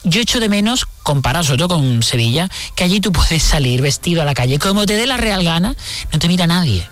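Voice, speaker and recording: A woman's voice: lowish voice, big pitch swings, fast, no room sound. A woman, talking candidly and reflectively as an interviewed guest, repeating words for emphasis. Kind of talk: conversational radio interview.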